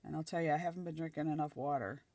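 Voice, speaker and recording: A middle-aged woman from Nova Scotia chats as she crafts, thinking aloud as she places each piece. Her voice is slightly croaky and dry. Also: low-pitched, very fast, warm tone. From Nova Scotia, Canada. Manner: chatty, thinking aloud while gluing collage pieces. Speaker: craft channel host. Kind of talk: casual crafting chat.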